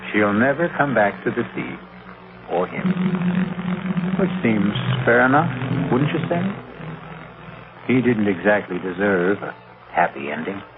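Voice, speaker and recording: A man hosting and narrating a radio mystery with wry, theatrical, deliberate phrasing. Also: mid-range voice, noisy background. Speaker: host and narrator. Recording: muffled, phone-like old radio.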